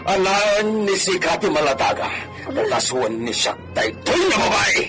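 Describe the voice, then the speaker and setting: Bossy theatrical ilocano senyor in a radio play, talks slow, voice goes all over. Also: male voice, high-pitched, big pitch swings, loud music behind the voice, full character voice. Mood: accusing, pained. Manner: slow, theatrical, swinging from soft to shouting. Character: domineering, volatile master of a villa, a new father. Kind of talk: radio drama acting.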